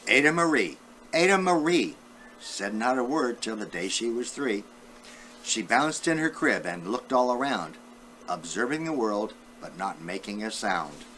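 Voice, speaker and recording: A man's voice: lowish voice, pushed and bright, slight background noise, poor audio. An elderly man reading a children's storybook aloud, talking directly to his young listeners as a great-grandfather would. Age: 70s or older.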